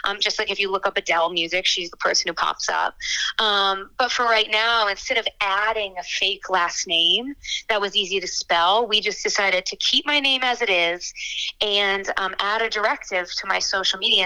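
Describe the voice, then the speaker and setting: A young woman singer-songwriter answering interview questions in a chatty, frank way, with quick asides and fillers. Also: medium pitch, steady tone, bright, forceful tone, clear, resonant tone, low-quality recording.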